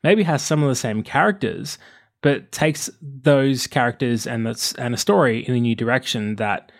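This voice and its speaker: A man's voice: medium pitch, normal speed, no room sound, studio quality. A man presenting as host, moving between scripted narration and interview questions.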